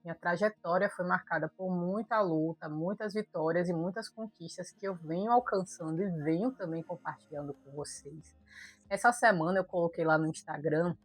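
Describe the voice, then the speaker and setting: A woman's voice: lowish voice, husky, no echo. A young Bahian woman, a doctor, telling her life story in an earnest, conversational vlog and talking straight to her viewers. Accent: Bahian Brazilian Portuguese. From Brazil, Salvador, Bahia. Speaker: doctor vlogger.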